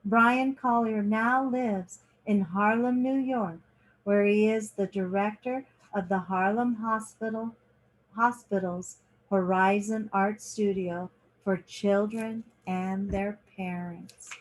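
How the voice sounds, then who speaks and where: Slow-paced woman reading a picture book. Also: medium pitch, fairly even intonation, poor audio. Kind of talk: children's picture book read-aloud.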